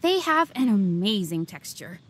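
A woman's voice: medium pitch, sing-song, measured pace, fairly bright tone, slight background noise. A woman voicing a polite young waitress in a video game, describing things courteously and with pride. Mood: helpful.

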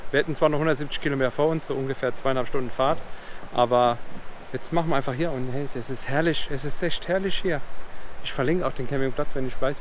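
A man's voice: mid-range voice, slight background noise. A man in his thirties with a Palatinate German accent, vlogging casually outdoors to a camera held at arm's length. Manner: chatty, relaxed, laughs often. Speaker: travel vlogger. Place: outdoors, ferry deck and campsites. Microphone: action camera at arm's length.

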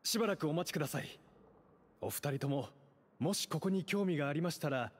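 A man's voice: a little high, animated, fairly quick. A man voicing a polite, earnest club leader in an anime game, formal and deferential. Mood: earnest, courteous. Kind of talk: Japanese anime game voice acting.

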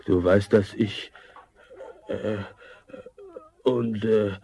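A man's voice: fairly low pitch, steady tone, drawn-out words, vocal fry, slightly rough, full character voice. A man playing a wary, rich fat tavern companion in a fairy-tale audio drama, speaking hesitantly. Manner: hesitant, mellow.

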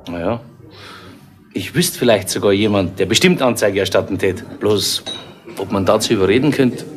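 An actor playing a polite, hesitant police assistant in a 1970s radio crime play. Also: male voice, fairly low pitch, lively intonation, moderate pace, lightly acted. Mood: deferential. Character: Fröschel, deferential male police assistant.

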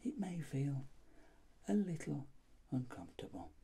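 Slow whispering middle-aged man, ASMR doctor. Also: fairly high pitch, sing-song. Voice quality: soft, breathy, mostly whispered. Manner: gentle, deliberate, reassuring, explaining each step. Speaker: ASMR performer playing a doctor examining a patient. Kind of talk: ASMR clinical role-play.

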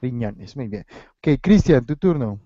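A man's voice: medium pitch, expressive, unhurried, mellow, a little hiss or hum. A man teaching a Spanish class over a video call, running a quiz game and mixing Spanish with English as he encourages his students. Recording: dull lo-fi video call audio.

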